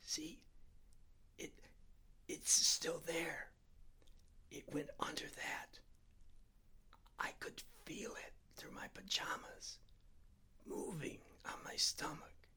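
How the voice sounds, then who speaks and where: A man voicing a terrified man who lies frozen in bed, speaking in a slow, careful whisper. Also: a little high, level tone, bright, forceful tone, husky, voice pushed up, full character voice. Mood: tense whisper.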